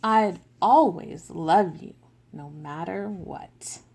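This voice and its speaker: A woman reading the lines of a large, loving parent figure in a picture book, slowly and gently. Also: on the low side, creaky, heavily acted. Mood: reassuring. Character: large loving parent creature, patient and reassuring.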